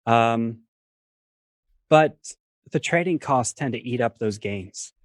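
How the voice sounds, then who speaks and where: Guy professor type on a video call, medium pitch, explains stuff step by step, dry audio. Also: animated, dry recording. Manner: measured, reasons step by step with worked examples. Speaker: finance professor, guest expert. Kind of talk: explanatory talk on a livestream.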